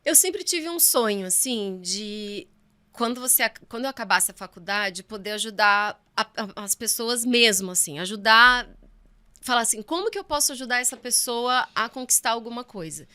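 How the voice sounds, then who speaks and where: A woman's voice: a little high, moderate pace, bright, forceful tone, smooth. A woman in her thirties, a sports physiotherapist chatting as an interview guest and acting out her own past remarks as she tells stories. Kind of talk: casual interview chat.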